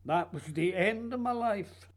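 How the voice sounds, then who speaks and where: A man's voice: sits high, unhurried. A man playing a whimsical, childlike playwright in a radio play, musing and teasing in a theatrical way. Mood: musing, wistful. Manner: theatrical, musing, playfully urging.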